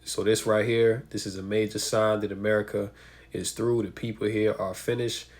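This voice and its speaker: A man's voice: on the low side, level tone, moderate pace. A man with a stuffy nose from a cold, giving emphatic preaching commentary and asking rhetorical questions. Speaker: religious commentator.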